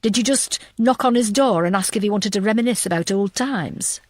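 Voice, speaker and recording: A woman's voice: medium pitch, fairly quick, no room sound, clean studio sound, lightly acted. A woman voicing a female police detective who questions a suspect firmly and probingly in an audiobook. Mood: pressing. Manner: quiet, firm, probing.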